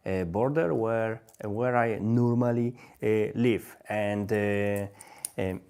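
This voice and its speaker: A man with a Polish accent, a native Polish speaker, hosting a live stream in English and talking in a halting way with many restarts as he searches for words. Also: mid-range voice, darkish tone. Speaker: language-learning livestream host.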